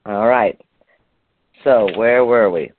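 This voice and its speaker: A man's voice: on the low side, slow, a little hiss or hum. An older man from Canada hosting a health talk, reading aloud from an article and stumbling over hard words. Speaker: program host. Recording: tinny phone line.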